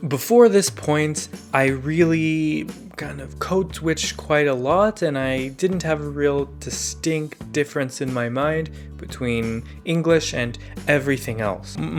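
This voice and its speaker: A man who grew up partly in Bulgaria gives a calm, scripted, reflective narration about learning languages for his channel. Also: a little high.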